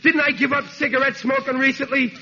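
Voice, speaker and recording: A man playing an excitable, dim but soft-hearted working-class father, blustering theatrically in 1940s radio sitcom style. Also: extremely high pitch, brisk. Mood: self-pitying. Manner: exasperated, theatrical, ungrammatical bluster. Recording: noisy muffled vintage radio recording.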